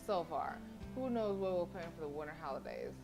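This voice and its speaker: A woman's voice: on the low side, lively intonation, a little fast. A woman live streamer reading a visual novel aloud expressively, breaking in with slangy, casual interjections. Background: game music.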